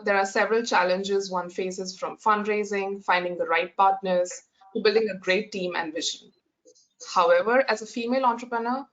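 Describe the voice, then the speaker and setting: A woman's voice: medium pitch, a bit bright. A woman moderating a webinar panel, asking prepared questions politely. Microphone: video call. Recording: muffled online audio.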